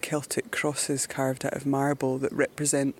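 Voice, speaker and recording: Unhurried Scottish woman musing outdoors. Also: a bass voice, completely flat delivery, a little mellow, slightly rough. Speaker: adventurer presenting a journey. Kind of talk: reflective travel narration. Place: outdoors by a river.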